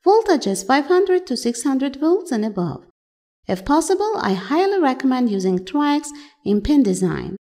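A woman's voice: high-pitched, very animated, measured pace, dark tone. A woman giving a scripted technical voice-over for an electronics tutorial, in plain, slightly stilted phrasing.

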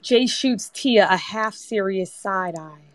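A woman's voice: mid-range voice, takes it slowly, dry recording. A woman reading scripted screenplay narration as the narrator of a table read. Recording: online group voice-chat app.

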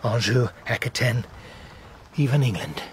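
An actor as a stern, mid-pitched loyal knight. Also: male voice, unhurried, a little hiss or hum, lightly acted. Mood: grave, urging. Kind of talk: historical radio drama acting.

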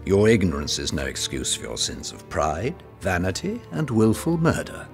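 An actor playing a contemptuous, menacing medieval nobleman in an audio drama, sneering and deliberate. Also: sits low, wildly swooping pitch, vocal fry, soft background music, exaggerated voice. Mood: sneering contempt.

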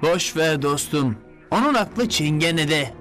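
High-voiced cheeky cartoon sidekick. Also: male voice, lively intonation, a little slow, fairly bright tone, soft background music. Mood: teasing. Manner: casual, teasing. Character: cheeky, excitable young male sidekick. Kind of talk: dubbed cartoon acting.

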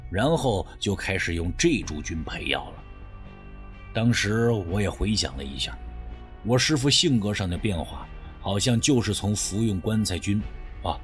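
Rugged, thoughtful herbalist, played by a male narrator: deep, grave, measured storytelling voice for an audiobook. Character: rugged, careful male herbal doctor recounting his master's death.

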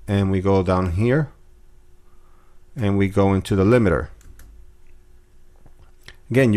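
Deep-voiced man explaining software steps. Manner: relaxed step-by-step explaining with fillers. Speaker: music production tutor. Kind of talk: screen-recorded software tutorial.

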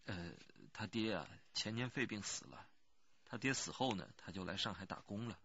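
Anxious, husky village man. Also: fairly low pitch, normal speed, bright, forceful tone, dry recording, highs cut off, heavily acted. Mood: cooperative, explaining. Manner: earnest, defensive, hesitant. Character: anxious rural working man, murdered girl's cousin, pleading earnestly. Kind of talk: radio crime drama acting.

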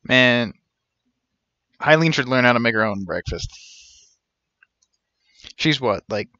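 Bright-voiced man streaming a game. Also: medium pitch, lively intonation, takes it slowly, dry recording, lo-fi sound. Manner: joking, wry. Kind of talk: casual live game commentary.

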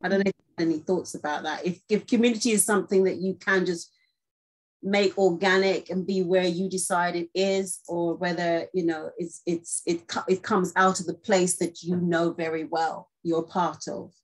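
She is a woman with a fairly low voice, conducting a relaxed conversational interview. She phrases things thoughtfully and tentatively, circling around a question as she forms it. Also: moderate pace, rough recording. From born in Guyana.

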